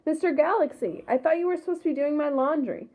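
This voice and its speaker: A woman's voice: sits high, fast, darkish tone, voice pushed up, lightly acted. A woman reading the part of a bossy, spoiled girl villain in a storybook, petulant and demanding. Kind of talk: storybook read-aloud dialogue. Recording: thin, phone-like sound.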